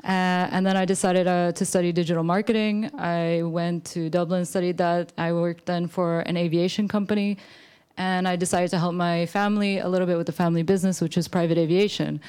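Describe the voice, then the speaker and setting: A woman's voice: on the low side, little pitch movement, fairly quick, darkish tone, clean tone. A woman, a startup co-founder, tells a personal career story in a conversational way as a panel speaker.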